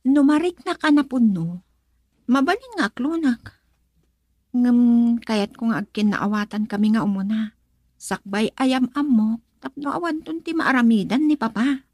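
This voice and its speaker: Dutiful young sweetheart, high-pitched, northern Philippine accent, soft-spoken, hesitant, dry studio radio drama. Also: female voice, unhurried, dry recording. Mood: thoughtful, earnest. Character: dutiful, gentle young woman in love. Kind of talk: Ilocano radio drama acting.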